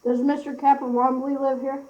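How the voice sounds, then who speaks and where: A woman's voice: sits high, little pitch movement, normal speed, a little mellow, lightly acted. A young teenage girl playing a polite, incredulous London newspaper reporter in an amateur school radio play. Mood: polite, inquiring. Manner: courteous, flustered. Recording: dull amateur recording.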